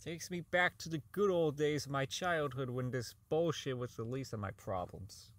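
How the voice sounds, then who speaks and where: A man's voice: medium pitch, moderate pace, natural voice. A man playing a socially inept, unravelling robot designer in an audio drama log, rambling, stammering, swearing and repeating himself. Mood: nostalgic, distracted.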